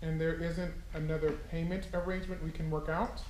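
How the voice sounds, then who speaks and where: A man's voice: a little high, level tone, fairly quick, natural voice. A man playing a weary, sardonic character in a staged dramatic reading, dry and evasive in manner. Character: weary, sardonic young man short of money. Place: small theatre stage. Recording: echoey theatre, some noise.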